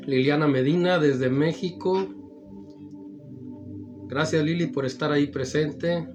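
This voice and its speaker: A man hosting a gospel program and preaching, slightly hoarse after the flu, repeating his greetings to his brothers and friends. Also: a little high. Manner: repetitive addresses, drawn-out phrases. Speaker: evangelical preacher and program host. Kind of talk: slow, warm-toned radio-style greeting and preaching. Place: studio in Pomona, California. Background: background music.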